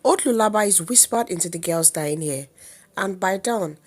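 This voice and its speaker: A woman with a Nigerian accent narrates a folktale as a storyteller, in a theatrical, solemn manner with deliberate phrasing. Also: lowish voice.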